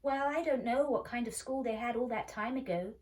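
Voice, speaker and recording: A woman's voice: on the high side, brisk, recorded from a distance, pitched above the performer's own voice, close to an everyday voice. A woman voicing a stubborn, scornful schoolgirl in a storybook, with an argumentative, doubtful manner. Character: stubborn, scornful schoolgirl who dislikes lessons.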